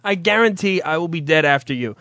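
Brash man with a highish voice. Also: animated, a little fast, bright, forceful tone, no echo, studio quality. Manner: brash, teasing, profane. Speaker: internet radio host. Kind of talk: comic banter, casual chat.

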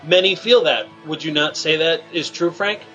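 A male radio show host leading deadpan teasing banter in a halting, filler-filled setup. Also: a little high, highs cut off, soft background music.

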